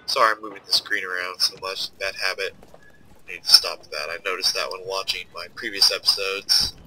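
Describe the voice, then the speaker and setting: A man's voice: low-pitched, fairly even intonation, brisk, sharp tone, soft background music, poor audio. A young man with a stuffed-up, nasal voice, casually commenting while he plays a strategy game and thinks aloud. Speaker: let's play host.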